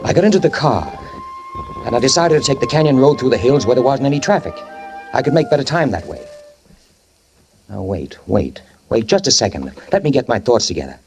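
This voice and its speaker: An actor playing a guilt-ridden man who fled a fatal crash, narrating in the first person in a 1940s radio drama with tense, halting speech that stammers and repeats. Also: medium pitch, huge pitch swings, darkish tone, poor audio. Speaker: narrator and lead character. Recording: old radio recording with music behind.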